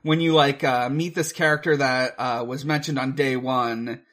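A man's voice: medium pitch, fairly even intonation, moderate pace, fairly bright tone. A man co-hosting a podcast in casual chat, speaking hesitantly with many filler words. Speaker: podcast co-host. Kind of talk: casual chat about films and video games.

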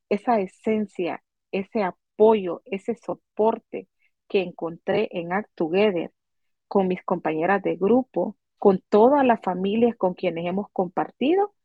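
A woman's voice: mid-range voice, breathy edge, no room sound, muffled recording. A woman guest whose first language is Spanish, speaking earnestly and searching for words. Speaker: program member guest. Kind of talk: casual conversational interview.

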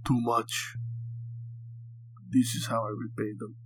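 A man's voice: mid-range voice, flat delivery, takes it slowly, fairly bright tone. Man doing a curt captive with Russian accent. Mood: resigned, curt. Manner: curt, defiant. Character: gagged Russian male captive, weary, curt and aggravated.

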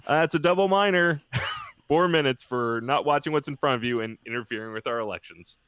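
A man co-hosting a sports podcast, telling jokes in a wry, deadpan way. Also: medium pitch, fairly quick, clear, resonant tone, dry recording. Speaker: podcast co-host. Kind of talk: casual comedic sports chat.

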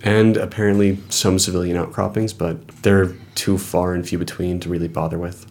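A man's voice: low-pitched, level tone, normal speed, darkish tone, a little hiss or hum. A man narrating a tabletop roleplaying game as game master in a casual, improvised way.

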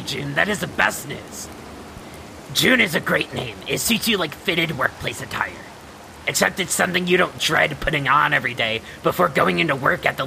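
An actor in a fan-dub audio drama voicing a sardonic, sharp-tongued alien woman anxious about a friend, with a raspy voice. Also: medium pitch, background noise. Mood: relieved, wry.

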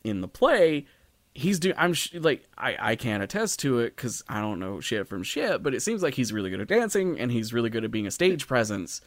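A man's voice: mid-range voice, big pitch swings, a little fast, dry recording. Rambling man in a dry, close recording. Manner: rambling, self-interrupting, profane. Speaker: podcast co-host. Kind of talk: casual discussion of a stage musical.

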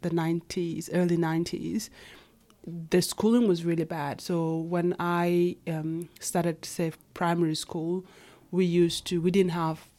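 Interview guest, woman in her thirties, Nuer first language, lowish voice, hesitant delivery with frequent fillers. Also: dark tone. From Kenya. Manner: hesitant, many fillers and restarts. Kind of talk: interview conversation.

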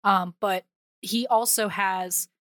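A woman's voice: mid-range voice, steady tone, slow, fairly bright tone, no echo. Female podcast co-speaker, mid-range voice, informal conversation, dry close recording. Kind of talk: casual chat.

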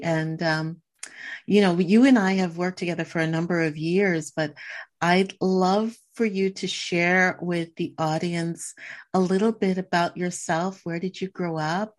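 A woman hosting a podcast, reading a measured introduction and asking inviting questions. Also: fairly low pitch, steady tone. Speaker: podcast host. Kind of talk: scripted intro and interview questions.

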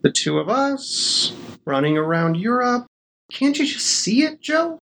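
A man's voice: very high-pitched, very animated, measured pace, gravelly, a bit bright, slight background noise, exaggerated voice. A man acting in a modern audio drama as an eager, teasing, devoted young man, boyish and coaxing. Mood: eager, persuasive.

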